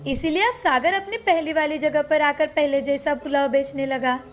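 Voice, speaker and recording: A woman narrating a moral cartoon story in a steady, scripted storytelling manner. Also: sits high, normal speed.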